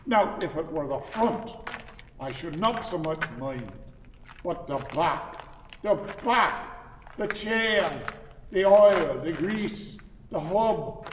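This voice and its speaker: A man acting a fussy, grumbling old gentleman in a radio play, formally polite and theatrical. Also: fairly high pitch, lively intonation, slow. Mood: exasperated. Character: fussy, grumbling old gentleman cyclist, courteous but doleful. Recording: distant, echoey hall with noise.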